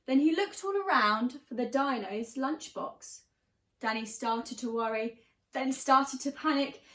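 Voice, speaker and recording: Slow, high-voiced woman reading a children's story. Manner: animated, dramatic emphasis with drawn-out key words. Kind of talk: expressive children's story read-aloud. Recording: roomy, echoing room sound.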